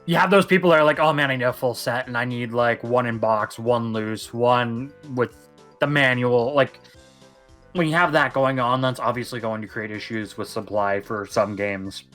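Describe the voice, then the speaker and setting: A man's voice: mid-range voice, moderate pace, fairly bright tone, faint music behind. A young man co-hosting a casual podcast, rambling and emphatic as he talks at length. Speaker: podcast co-host. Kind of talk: casual chat.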